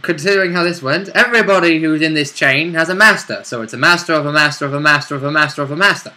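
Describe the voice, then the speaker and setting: A man chatting casually as a player co-hosting a tabletop game recap, jokey and quick to chip in. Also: fairly high pitch, bright, forceful tone. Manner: jokey, interjecting. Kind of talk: casual banter.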